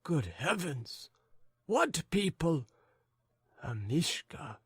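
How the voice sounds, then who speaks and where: Man doing a fussing old gentleman. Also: fairly high pitch, very slow, husky, no room sound, clean studio sound, pitched above the performer's own voice, full character voice. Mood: flustered. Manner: fussing, excitable. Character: doddering, fretful old gentleman husband.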